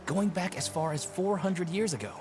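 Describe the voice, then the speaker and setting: A man's voice: high voice, normal speed, a little hiss or hum, natural voice. An actor playing a friendly, persuasive male prison inmate recruiting newcomers in a video game. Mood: affable. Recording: loud game music. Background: game music.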